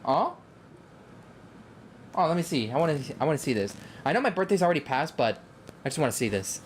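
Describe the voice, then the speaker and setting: A man's voice: a little high, sing-song, fairly quick, background noise. A young man with an enthusiastic, easily delighted manner, giving casual live commentary as he plays a game.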